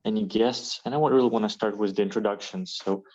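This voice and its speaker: Club president from ukraine opening a video call, kinda low voice, lots of uhs, muffled lo-fi audio. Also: male voice, steady tone, fast talker, a little mellow. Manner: hesitant, friendly, many fillers. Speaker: club president hosting the meeting. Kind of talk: online meeting host chat.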